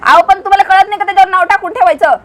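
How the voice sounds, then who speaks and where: An angry, stubborn female customer acted by a woman for a prank call scolds in a high voice. She is blunt and argumentative, with a rural western Maharashtrian Marathi accent. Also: fairly even intonation, rapid-fire, a bit bright. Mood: stubborn complaint.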